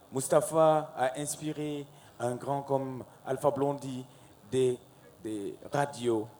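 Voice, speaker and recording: Slow, unhurried male TV host. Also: mid-range voice. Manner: unhurried, drawn-out questions, lively announcements. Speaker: talk-show host. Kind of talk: hosting a televised talk panel. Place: television studio set.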